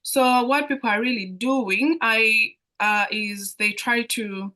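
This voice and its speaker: A woman's voice: fairly high pitch, fairly even intonation, takes it slowly, bright, forceful tone. A young woman from Uganda with a Ugandan accent, explaining things as a student guest in a live online Q&A, often repeating phrases as she goes. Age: young adult. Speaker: international student guest speaker on a Q&A.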